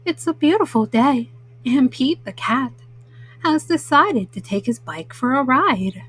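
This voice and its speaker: A woman storyteller reading a children's picture book at bedtime, slowly and simply, as a mama to young listeners. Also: high-pitched, animated, fairly bright tone, husky, rough recording. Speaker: storyteller host.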